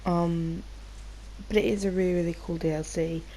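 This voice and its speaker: A woman's voice: on the low side, steady tone, normal speed, mellow, slight background noise, poor audio. A British woman giving casual, rambling gameplay commentary, informal and sweary, sounding slightly nasal from a cold. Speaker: gaming video host.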